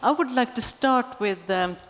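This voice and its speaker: A woman's voice: on the high side, moderate pace. A woman moderating a conference panel, putting questions to the guests. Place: large conference hall stage. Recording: reverberant conference hall.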